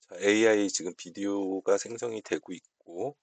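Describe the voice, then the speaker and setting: A man giving a casual, unscripted software walkthrough, explaining politely step by step. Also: lowish voice, steady tone, normal speed, vocal fry, no echo. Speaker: software tutorial presenter.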